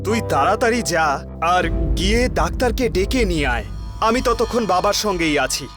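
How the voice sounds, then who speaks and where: Man acting high, lively worried brother. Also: fairly quick. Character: worried, caring young elder brother. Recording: loud music behind the voice.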